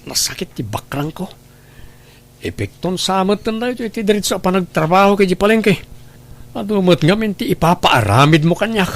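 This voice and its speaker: Lalaki nga agak-akem iti nasadut a nobio, nangato bassit ti timekna. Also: male voice, expressive, moderate pace. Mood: complaining. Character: selfish, work-shy, wheedling boyfriend. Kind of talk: radio drama dialogue.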